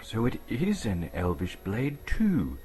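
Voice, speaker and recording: A man's voice: on the low side, wildly swooping pitch, slow pace, mellow, faint music behind, voice pushed up, heavily acted. A man voicing a small, frightened hobbit thinking to himself and bracing his courage, in a dramatic storybook reading. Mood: wary musing.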